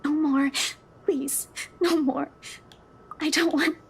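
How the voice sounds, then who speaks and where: Terrified young woman for a horror game: high, slow, breathy pleading. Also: fairly even intonation. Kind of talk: visual novel voice acting.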